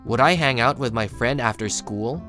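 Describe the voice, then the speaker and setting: An actor playing a studious, reserved teenage high school boy who narrates and speaks in a manga video, introspective and a little hesitant. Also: normal speed, loud music behind the voice, natural voice.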